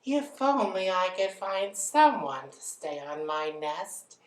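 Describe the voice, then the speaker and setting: A woman's voice: on the low side, very animated, takes it slowly, roomy sound, pitched above the performer's own voice. A woman voicing a lazy female bird who whines, wheedles and pleads in an exaggerated way for a children's story. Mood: bored, whiny.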